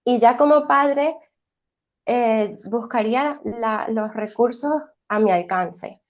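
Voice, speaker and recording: A young woman with a slightly high voice, speaking as an early childhood teacher from Venezuela. She answers in a thoughtful, conversational way, often restarting her phrases and ending with a questioning tag. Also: takes it slowly.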